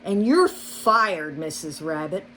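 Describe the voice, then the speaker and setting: A woman's voice: medium pitch, big pitch swings, slow pace, slight background noise, poor audio. A woman voicing a sly, greedy male fox shopkeeper who sneers and barks orders in a storybook reading. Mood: menacing.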